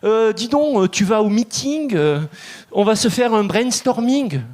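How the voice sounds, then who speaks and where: A man's voice: high-pitched, expressive, measured pace, a little mellow. A man in his thirties from Marseille with a strong Marseille accent, doing a chatty stand-up set on stage. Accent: Marseille French. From Marseille, France. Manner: chatty, laughing, puts on voices for quoted people. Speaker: stand-up comedian. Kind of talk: stand-up routine. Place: comedy club stage.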